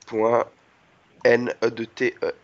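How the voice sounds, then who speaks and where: A man's voice: medium pitch, completely flat delivery, no echo, lightly acted. A man on a prank call playing a polite, dim-witted barman who is earnest and hopelessly confused by spelling. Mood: politely puzzled. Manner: slow-witted, earnest, muddled, asks for repeats. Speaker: prank caller. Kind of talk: improvised prank phone call. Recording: dull lo-fi phone call audio.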